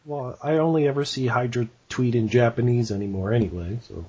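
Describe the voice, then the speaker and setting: A podcast co-host chatting casually, tossing in quick, laid-back quips. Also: medium pitch, darkish tone, breathy edge. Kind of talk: casual chat. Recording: dull lo-fi podcast audio.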